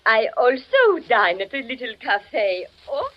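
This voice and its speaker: Attentive, jealous woman innkeeper, 1940s radio acting; animated, solicitous, muffled old recording. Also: on the high side, normal speed.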